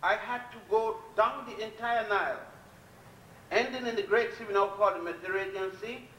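A man's voice: high-pitched, a bit bright, recorded from a distance, slight background noise. Blunt older man lecturing in an echoey hall. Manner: blunt, defiant, storytelling pace with long pauses. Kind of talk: public lecture. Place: lecture hall at a podium before a chalkboard. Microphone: podium mic on a stand, filmed from a distance. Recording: echoey hall, recorded from afar.

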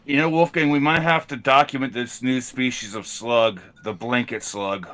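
Man, medium pitch, tabletop player, casual in-character roleplay. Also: steady tone, normal speed, fairly bright tone.

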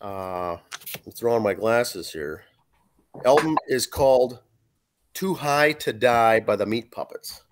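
A hoarse man in his forties co-hosting a podcast over a video call, reading album facts with fillers. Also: mid-range voice, expressive, slow. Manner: reads facts and track lists with fillers. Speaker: podcast co-host reviewing an album. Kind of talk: casual podcast chat, reading notes. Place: small room at home. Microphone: video call webcam.

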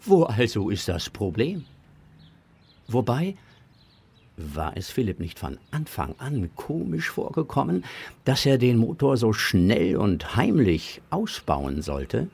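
Man, children's story narrator, gravelly voice, animated melody, measured pace. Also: mid-range voice. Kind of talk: expressive scripted narration for a children's audio drama.

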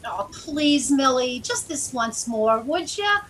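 A woman's voice: high-pitched, lively intonation, slow, a bit bright, slight background noise, exaggerated voice. A woman playing a harried, chummy carhop who pleads in slangy old-time radio drama style. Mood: pleading. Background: rain.

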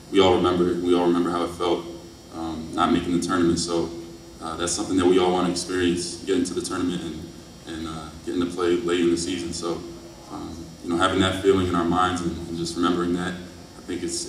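Deep, flat-voiced young man, echoey. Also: fast talker. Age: early 20s. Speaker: college basketball player. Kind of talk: press conference answers. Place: press conference room, indoors. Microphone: table microphone on stand. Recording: echoey room, distant mic, faint noise.

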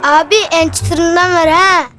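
A woman playing a brash, playful young boy in an animated children's cartoon. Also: very high-pitched, slow, soft background music, a faint crowd, full character voice. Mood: eager.

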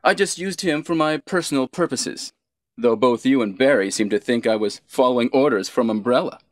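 An actor playing a cold, scheming villainous commander in a video game, deliberate, condescending and menacing in stilted cutscene delivery. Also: male voice, mid-range voice, no room sound, studio quality, lightly acted.

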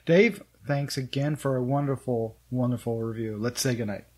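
A man's voice: medium pitch, normal speed, very noisy. A man hosting a podcast in a casual, conversational style, chatting with a reviewer. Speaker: podcast host. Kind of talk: casual review chat, asking questions.

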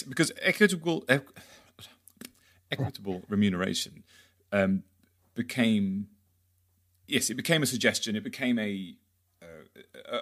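A man with a somewhat low voice hosts an industry podcast. His questions wander and double back before landing, in an interviewer's conversational tone. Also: a bit bright. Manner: rambling, self-correcting questions. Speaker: podcast host.

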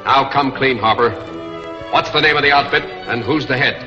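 An actor playing a decisive newspaper publisher who is also a masked crime fighter, in a 1940s serial. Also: male voice, mid-range voice, normal speed, natural voice. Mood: commanding. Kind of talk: 1940s film serial acting. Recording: muffled 1940s serial soundtrack, loud music.

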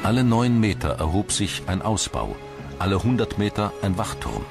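Very deep-voiced man narrating a documentary. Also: very animated, normal speed, vocal fry. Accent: standard German. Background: background music.

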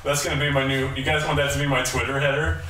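A man's voice: medium pitch, level tone, quick pace, pushed and bright, slight background noise. A young man joking and reading chat aloud on a casual home baking stream with his wife. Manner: joking, reads chat aloud, laughs often. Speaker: co-host, husband. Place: home kitchen. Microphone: far room mic. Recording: echoey kitchen from far off, music behind.

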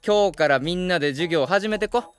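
A man acting an easygoing, well-meaning young high school teacher with a Kansai accent, mild and gently scolding. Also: normal speed, lightly acted. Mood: gently firm. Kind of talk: Japanese voice drama acting. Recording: music behind the voice.

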